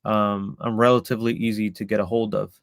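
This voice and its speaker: A man's voice: lowish voice, little pitch movement, brisk, vocal fry, no echo, clean studio sound. A man from El Salvador giving a live online presentation about a community he founded, hesitant with many ums and restarts.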